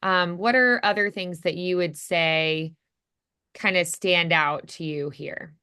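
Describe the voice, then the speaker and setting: A woman's voice: on the low side, steady tone, slow, bright, forceful tone, clean tone. A woman coaching voice acting in a casual, encouraging, conversational way. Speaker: voice acting teacher.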